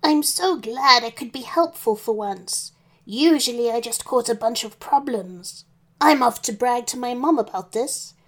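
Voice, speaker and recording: A woman's voice: fairly high pitch, a little slow, a bit bright. A woman voicing a friendly, eager male wolf with a chatty, folksy manner in a children's story. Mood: proud, cheerful.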